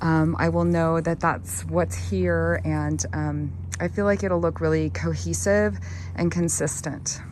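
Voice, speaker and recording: A hoarse woman who has partly lost her voice, chatting loosely in a garden vlog with lots of fillers. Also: deep voice, steady tone, measured pace, a little mellow, slight background noise. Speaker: gardening vlogger. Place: backyard garden, outdoors.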